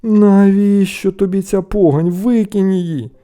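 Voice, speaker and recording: A man's voice: a little high, animated, fairly quick, creaky, dark tone, pitched above the performer's own voice. A man voicing a scolding, exasperated peasant elder brother in a storybook tale, scornful and nagging.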